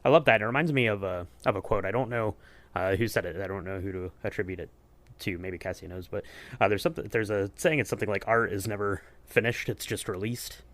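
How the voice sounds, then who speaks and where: A man's voice: fairly low pitch, fast, a bit bright, no echo. A man hosting a podcast in casual chat, asking questions in a rambling, hesitant way. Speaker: podcast host.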